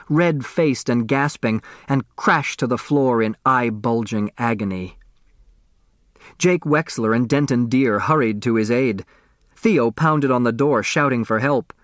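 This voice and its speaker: A man reading a novel as an audiobook narrator, with dramatic, expressive scripted delivery. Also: mid-range voice, no room sound, clean studio sound.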